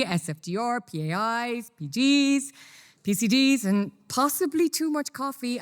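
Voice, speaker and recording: Smooth-voiced Irish woman presenting. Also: medium pitch. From Ireland. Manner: lively, self-deprecating, conversational. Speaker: conference speaker. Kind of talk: conference presentation. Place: conference room.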